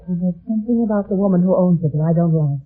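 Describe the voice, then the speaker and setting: A woman's voice: on the low side, quick pace, husky, faint music behind. An actress playing an anxious, earnest young woman pleading for help in an old radio mystery. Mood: uneasy. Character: anxious, earnest young woman seeking her missing brother. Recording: tinny, narrow-band old radio recording.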